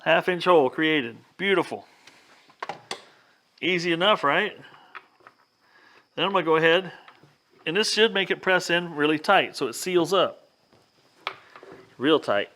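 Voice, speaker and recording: A man's voice: fairly high pitch, animated, a little slow, long pauses, bright, forceful tone, a little hiss or hum. A plainspoken, folksy man hosting a casual hands-on DIY video, talking the viewer through each step. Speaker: vlog host giving a DIY demonstration. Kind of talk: casual hands-on how-to talk.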